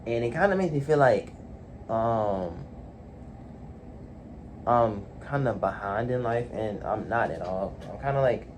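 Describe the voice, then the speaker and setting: A man's voice: on the low side, animated, measured pace, pauses often, slight background noise. A man in his late teens talking alone in his bedroom, hesitant, full of fillers and restarts. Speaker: vlogger. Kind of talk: casual solo talk to camera.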